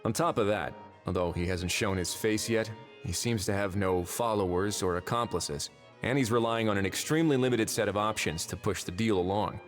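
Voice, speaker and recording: Man playing a low-voiced, shrewd, laid-back investigator. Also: soft background music, natural voice. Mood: pensive. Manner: dry, measured, casual authority. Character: shrewd, easygoing, world-weary male investigating official. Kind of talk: animated video game voice acting.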